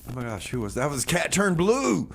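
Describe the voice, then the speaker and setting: A man's voice: fairly high pitch, big pitch swings, a little fast, gravelly. A man hosting a music and comedy radio show, bantering casually with rambling, slangy phrasing.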